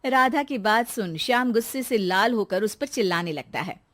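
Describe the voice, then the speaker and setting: A woman narrating a cartoon moral story in a lively storytelling manner. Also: a little high, moderate pace, no room sound, studio quality.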